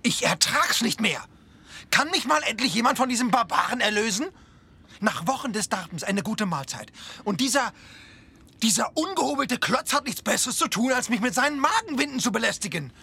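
An actor playing a snobbish, fastidious gentleman explorer in a radio play, haughty and ornate in his complaints. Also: very high-pitched, sing-song, creaky, slightly rough, exaggerated voice. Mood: indignant, disgusted. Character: snobbish, fastidious upper-class expedition member, easily disgusted.

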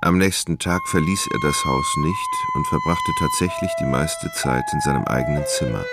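Male radio-play narrator, bottom-register bass with marked fry, literary storytelling. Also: moderate pace, loud music behind the voice.

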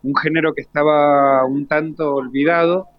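A man's voice: fairly high pitch, steady tone, moderate pace, dry recording. A male teacher with an Argentine accent, explaining things articulately in an interview. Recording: dull, dry radio sound.

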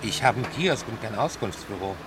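An actor playing a brusque, grumpy kiosk keeper in a German radio drama, curt and dismissive. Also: male voice, on the low side, lively intonation, a little fast, noisy background, close to an everyday voice.

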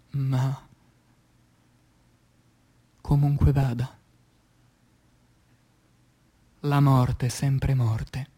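An anguished revolutionary poet, acted by a man in radio theatre, declaiming verse in a high-pitched male voice. The delivery is slow and theatrical, with weighty pauses and passionate swings from hushed to loud. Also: breathy edge. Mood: solemn, brooding. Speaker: stage actor.

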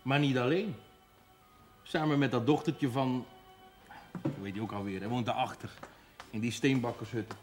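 A man plays a village gossip in a period television drama. His voice is middle-pitched and chatty, relishing scandal, with faint music in the background. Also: moderate pace, pauses often, lightly acted. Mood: amused gossip. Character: gossipy village regular, amused and scandalised storyteller.

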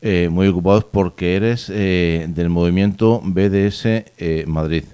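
Deep mellow-voiced radio host. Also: male voice, fairly even intonation, clear, resonant tone, no echo. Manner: halting, many restarts and fillers. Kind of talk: radio interview. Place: radio studio.

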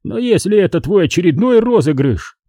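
A man's voice: sits high, big pitch swings, moderate pace, dark tone, dry recording, studio quality, voice pushed up. Man playing a stern, exasperated storybook father. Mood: grudging. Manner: scolding, commanding.

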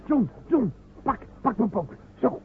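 Radio play: gruff urgent comrade, high, very animated, on a tinny noisy recording. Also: male voice, measured pace, full character voice. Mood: urgent, alarmed. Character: gruff, urgent, swearing rough comrade, loyal man.

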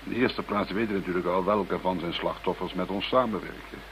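A man playing a worried, businesslike police investigator with a measured manner in a radio play. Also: deep voice, steady tone, a bit bright, poor audio. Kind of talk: Dutch radio play acting. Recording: hissy radio play recording.

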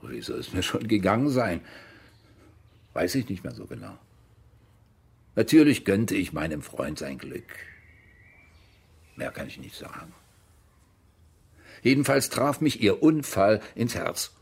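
Low, gravelly, defensive older man. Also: very animated, a little slow, pauses often, exaggerated voice. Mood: evasive. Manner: evasive, dismissive, matter-of-fact. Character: defensive, self-pitying older man, former television assistant director. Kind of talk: German radio crime drama acting, interview monologue.

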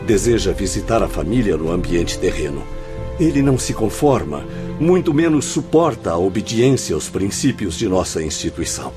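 An actor in a radio drama playing a formal, polite and concerned assistant to a director. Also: male voice, sits low, very animated, moderate pace. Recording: music behind the voice.

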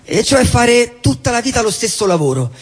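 A man acting a disillusioned, jobless character, blunt yet wistful, in halting stage dialogue with repeated phrases and a husky voice. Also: very high voice, very animated, heavily acted. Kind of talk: theatrical stage dialogue and monologue. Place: theatre hall, live show. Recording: echoey hall, live stage recording.

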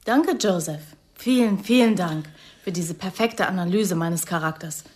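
An actress playing a brittle, defiant young woman recruited as an agent in a radio play, husky and dryly ironic. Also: fairly low pitch, a little slow. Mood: bitterly sarcastic. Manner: dry, biting irony. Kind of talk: German radio play acting.

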